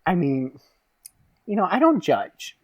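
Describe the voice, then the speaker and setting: A woman's voice: lowish voice, big pitch swings, slow, dry recording, full character voice. A woman improvising a chatty, dim but well-meaning female landlord in a comedy scene, rambling and justifying herself in a literal-minded way. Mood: self-justifying.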